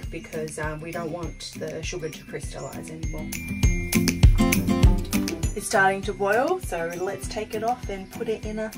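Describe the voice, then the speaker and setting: A woman's voice: lowish voice, fairly even intonation, moderate pace, loud music behind the voice. A cheerful young woman from Australia, explaining a recipe step by step in her caravan kitchen. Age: young adult. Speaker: vlogger cooking in a caravan. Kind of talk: casual cooking tutorial.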